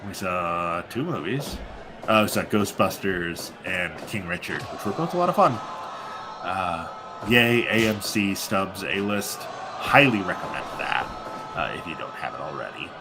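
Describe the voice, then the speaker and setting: A man's voice: deep voice, a little slow, noisy background. Congested, rambling male wrestling commentator. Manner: rambling, filler-heavy, animated hype. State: congested and sleepy. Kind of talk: live play-by-play commentary. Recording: music playing behind. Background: music.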